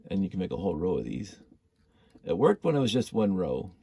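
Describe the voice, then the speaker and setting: A man's voice: sits low, sing-song, fairly quick, creaky, mellow, breathy edge, no echo, clean studio sound. A man with a stuffy cold, thinking aloud while he builds, rambling and humming as he works. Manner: rambling, self-deprecating, hums and mutters while working. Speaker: building hobbyist vlogger.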